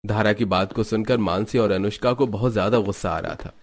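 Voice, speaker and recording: A man narrating a cartoon family story in a plain, even storytelling voice. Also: on the low side, a little fast.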